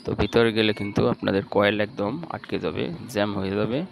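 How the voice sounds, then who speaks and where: A man's voice: on the low side, level tone, fast, creaky. A man with a Bangladeshi accent narrating a step-by-step repair tutorial in a plain, instructional way. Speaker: electronics repair channel host.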